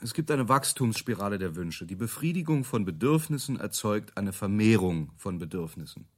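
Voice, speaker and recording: A man's voice: lowish voice, very animated, normal speed. An actor playing a cool, self-assured businessman being interviewed in a radio drama, speaking assertively and tersely. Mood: pondering, confident. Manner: terse, assertive, jargon-heavy. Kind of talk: naturalistic radio drama dialogue.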